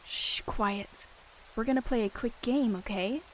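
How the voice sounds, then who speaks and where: A woman's voice: fairly high pitch, unhurried, slight background noise, lightly acted. Woman voicing a bitter tomcat deputy. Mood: hushed. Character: angry scheming male warrior cat deputy.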